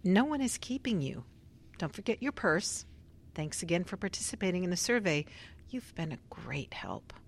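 Lowish-voiced, probing woman researcher played by an actress. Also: very animated, vocal fry, slight background noise. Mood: cool, controlled. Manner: measured, pressing, questioning. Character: probing, composed, insistent female researcher conducting an interrogation. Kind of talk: radio drama acting. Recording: music behind.

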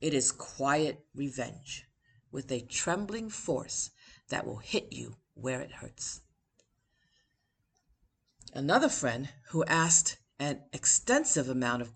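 A woman with a notably low-pitched voice reads her own personal essay aloud. She takes it at a measured, deliberate pace, pausing for emphasis between phrases. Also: sing-song, creaky, husky. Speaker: author and podcast host.

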